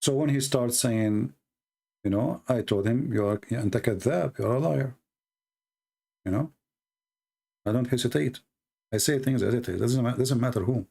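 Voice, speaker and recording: A man's voice: medium pitch, normal speed, gravelly, pauses often, dark tone, breathy edge. A man with an Arabic accent, a native Arabic speaker from the Middle East, hosting a talk show in blunt, mocking casual commentary with broken English grammar. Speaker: debate show host.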